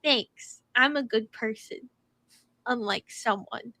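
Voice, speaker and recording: Young female podcast co-host, high bright voice, jokey and teasing. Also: a little hiss or hum. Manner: playful teasing, quick jokes. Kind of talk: casual chat.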